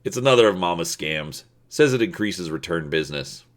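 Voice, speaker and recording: A man voicing a human male bartender in a storybook, casual and halting as if reading off prompts. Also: low-pitched, expressive. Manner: halting, reads from a screen.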